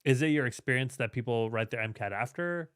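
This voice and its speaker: A man's voice: mid-range voice, a little fast, a bit bright, clear, resonant tone, no echo. A man hosting a podcast in casual chat, who keeps tagging his points with a questioning "right?". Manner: chatty, tags lines with "right?". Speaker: podcast host. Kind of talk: casual interview talk.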